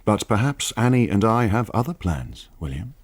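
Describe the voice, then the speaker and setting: A man playing an insinuating, menacing taunter in a radio drama, speaking slyly and deliberately. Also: lowish voice, very animated. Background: music.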